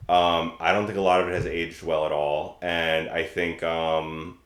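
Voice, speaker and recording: A man with a deep voice talks casually as a podcast co-host. He comes across as earnest and full of opinions. The room around his voice is noticeably echoey. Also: little pitch movement, unhurried, fairly bright tone. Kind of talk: casual chat.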